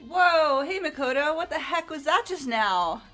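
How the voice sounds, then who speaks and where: A woman voicing an energetic, naive high school girl in a video game fan dub, bubbly and full of exclamations. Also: unhurried, faint music behind, heavily acted. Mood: startled, curious.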